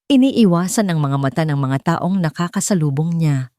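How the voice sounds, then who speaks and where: A woman's voice: sits low, animated, moderate pace, dark tone, no room sound, clean studio sound. A woman reading a scripted, dramatic love story as its narrator. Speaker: narrator of romance stories.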